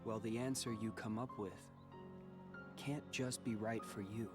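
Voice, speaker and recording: A man playing a guarded, brooding but quietly caring teenage boy in a video game cutscene, terse and measured. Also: fairly low pitch, fairly even intonation, close to an everyday voice. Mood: calm, advising. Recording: game music behind the voice.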